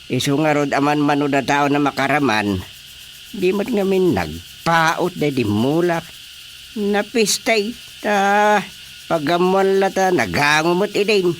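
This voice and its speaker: An actor playing an easygoing, comic rural father in an Ilocano radio drama, with drawn-out phrasing. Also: fairly high pitch, animated, slight background noise. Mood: admiring, playful.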